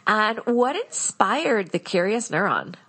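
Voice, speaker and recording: A woman podcast host with a stuffy, congested nose, chatting in a bubbly, excitable way with her guest. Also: medium pitch, slow. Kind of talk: casual interview chat.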